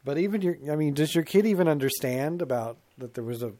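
A man's voice: fairly high pitch, lively intonation, fast, dark tone. A middle-aged man co-hosting a talk show in casual comic chat, rambling and self-mocking, slipping into put-on voices to quote himself.

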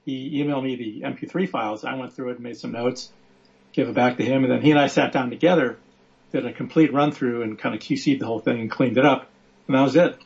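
A man who is a guest author on a podcast, telling his story briskly in casual chat with plenty of fillers. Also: medium pitch, fairly even intonation, creaky, darkish tone. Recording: dull lo-fi audio.

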